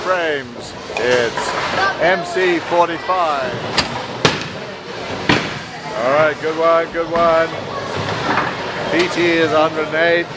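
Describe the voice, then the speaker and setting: A man's voice: on the high side, fairly even intonation, takes it slowly, pauses often, heavy background noise. A man filming on a handheld camera in a bowling alley, giving casual, encouraging live commentary in short exclamations. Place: large bowling alley, indoors.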